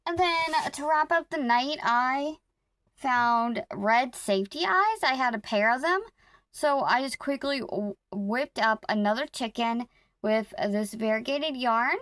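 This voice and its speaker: A woman vlogging casually about her crochet projects, rambling and correcting herself as she goes, her throat a little rough. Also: fairly high pitch, a bit bright, no room sound, studio quality. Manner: rambling, self-correcting, talks to viewers while working. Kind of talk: casual chatty vlog.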